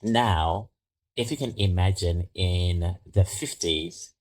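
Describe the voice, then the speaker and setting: A man from Zimbabwe with a very low, deep voice speaks unhurriedly, weighing each word. He sounds middle-aged and reflective as he answers an interviewer's questions. The pace stays slow and measured throughout. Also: darkish tone. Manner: slow, thoughtful storytelling. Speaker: podcast guest.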